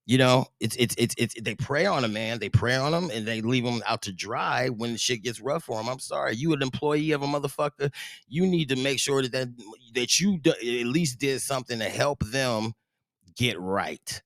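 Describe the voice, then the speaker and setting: A man hosting a loose, profane podcast chat, full of restarts and sudden animated tangents. His voice sits at an ordinary male pitch. The studio mic picks it up close and dry. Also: normal speed, vocal fry, no echo. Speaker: podcast host.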